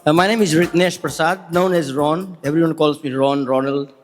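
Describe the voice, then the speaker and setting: A man from Fiji introduces himself as a contestant, wandering a bit as he talks. His voice is slightly high, with faint music behind him. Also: steady tone, moderate pace. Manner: rambling, casual. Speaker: singing contestant.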